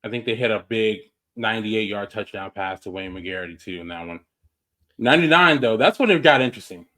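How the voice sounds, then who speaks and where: A man around forty, co-hosting a sports podcast in an easygoing way, quick to agree and laugh along. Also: on the low side, expressive, sharp tone. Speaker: podcast co-host. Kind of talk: casual sports chat.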